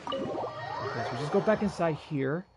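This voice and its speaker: A man's voice: fairly high pitch, a little fast, background noise. A man giving casual live-stream commentary as a game streamer, rambling and informal. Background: game music.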